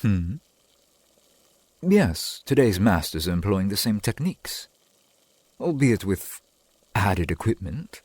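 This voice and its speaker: Man playing a breathy, lilting, doting vampire boyfriend. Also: fairly low pitch, very animated, darkish tone, no echo, heavily acted. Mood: eager, earnest. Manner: formal archaic wording, halting, eager to please. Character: doting, old-fashioned, earnest vampire boyfriend, centuries old. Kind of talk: ASMR boyfriend roleplay, theatrical and intimate.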